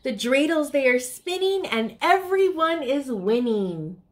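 A woman's voice: sits high, measured pace. An American woman, around her thirties, reading aloud expressively as the host of a children's storytime. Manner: addresses young listeners, expressive picture-book reading.

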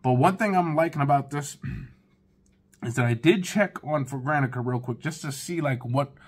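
A man's voice: on the high side, normal speed, husky. A man in his thirties giving a casual, chatty first-impressions review of fragrances from his living room, talking straight to his viewers. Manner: laid-back, chatty, talks straight to viewers.

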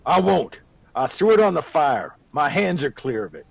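A shaken, fearful man played by an actor in a radio drama, warning gravely. His fairly high voice is creaky and swings widely in pitch as his agitation shows. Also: big pitch swings, normal speed, dry recording, full character voice. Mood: alarmed, insistent. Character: agitated, fearful old soldier guest warning of a curse. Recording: faint background noise and ambience.

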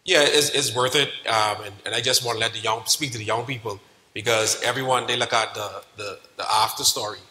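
A man's voice: medium pitch, fairly quick, bright, forceful tone. A Bahamian man on a conference panel, earnestly telling his story to young people. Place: large conference hall stage. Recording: echoey hall, faint noise.

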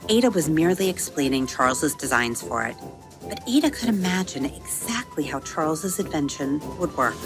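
A woman with a deep, low speaking voice reads a children's bedtime story aloud. She is expressive, easing down and softening on the vivid words. Gentle music plays underneath her. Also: normal speed. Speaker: storybook narrator and episode host. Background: soft music under the reading.

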